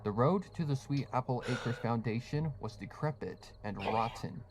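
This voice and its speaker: A man's voice: medium pitch, moderate pace, a crowd in the background. A man reading scripted horror fiction as a storytelling narrator.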